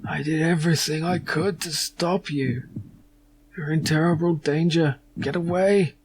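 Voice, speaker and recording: A grave, anguished old father from an adventure game, put on by a man. He speaks slowly and haltingly in a raised, strained voice, melodramatic to the end, with noise behind him. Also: on the high side, fairly even intonation, voice pushed up. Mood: anguished warning. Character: dying anguished father, urgently warning his grown son.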